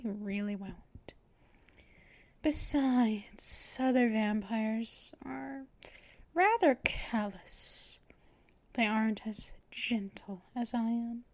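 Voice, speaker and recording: A woman's voice: on the high side, dry recording. A woman playing a whispering, possessive vampire mistress. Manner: slow, breathy half-whispers, soft laughs, teasing and possessive. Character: possessive, teasing female vampire mistress, adult. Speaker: ASMR roleplay performer. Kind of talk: unscripted ASMR roleplay. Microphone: close microphone for headphone listening.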